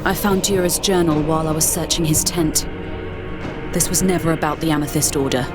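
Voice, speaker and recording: A woman's voice: sits low, fairly even intonation, a little fast, music in the background, close to an everyday voice. A woman voicing an anxious, earnest female expedition member in a video game cutscene, urgent in manner.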